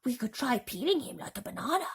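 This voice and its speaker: A woman's voice: a little high, sing-song, quick pace, breathy edge, voice pushed up, heavily acted. A woman voicing a grumpy old male grasshopper in a children's storybook, with a grumbling manner. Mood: helpful.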